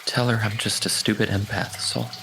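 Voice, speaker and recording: Tender female animal companion, acted by a man, fretful, empathetic, tabletop fantasy game, clearly noisy recording. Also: fairly low pitch, level tone, normal speed, pitched above the performer's own voice. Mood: self-deprecating.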